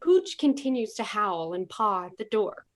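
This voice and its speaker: A woman's voice: medium pitch, very animated, normal speed. A woman narrating a scripted comedy with sassy, mock-dramatic delivery.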